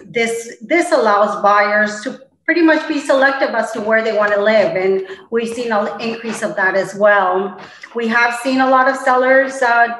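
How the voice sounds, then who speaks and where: A woman's voice: fairly high pitch, fairly bright tone, reverberant, slight background noise, low-quality recording. A middle-aged woman from Colombia, answering interview questions as a guest real estate agent, with many fillers and restarts.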